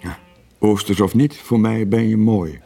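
An actor playing a gentle, laconic middle-aged sailor in a radio drama, speaking tersely. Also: male voice, fairly low pitch, steady tone, measured pace, gravelly, husky, faint music behind, exaggerated voice. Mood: gentle, attentive. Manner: terse, understated replies. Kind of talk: 1980s Dutch-language radio drama acting.

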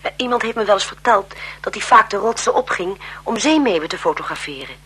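An actress playing a polite, gossipy governess who eagerly serves a marquise, in a 1970s Dutch radio drama. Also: female voice, medium pitch, lively intonation, a little fast, a bit bright, husky. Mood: shocked, gossipy. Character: deferential, chatty, gossipy governess to a marquise's children.

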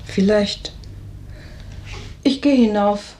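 Calm, probing sister, mid-range voice, radio play, faint background noise. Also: female voice, medium pitch, drawn-out words. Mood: quiet, concerned. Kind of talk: German radio drama acting.

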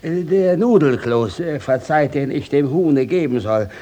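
An actor playing an evasive, pompous old village judge in a radio play, stammering and then barking gruffly. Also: male voice, on the high side, animated, measured pace, mellow. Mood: flustered, evasive. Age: older. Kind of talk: classic stage-style radio drama acting.

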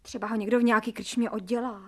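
An actress playing a pert, excitable young housemaid in a Czech radio drama, brisk and cheeky as she reports. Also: fairly high pitch.

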